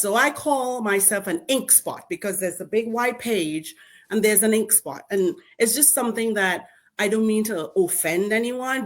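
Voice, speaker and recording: A middle-aged woman from Trinidad and Tobago with a Trinidadian accent, speaking as a guest in a community panel discussion and telling her story emphatically. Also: medium pitch. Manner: lively storytelling, emphatic and animated. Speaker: school board member and panel guest.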